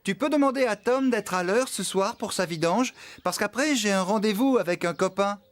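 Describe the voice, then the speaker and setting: A man's voice: high voice, normal speed. A man playing a casual, chummy neighbour talking over the telephone in a children's cartoon. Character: casual, chummy male neighbour phoning a farmer.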